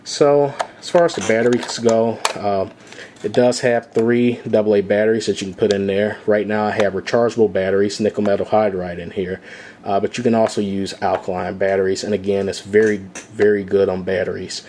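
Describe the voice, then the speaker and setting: Low-voiced man reviewing a gadget. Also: level tone, a little fast, a little mellow, a little hiss or hum. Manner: unscripted, many "uh" fillers. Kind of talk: casual product walkthrough.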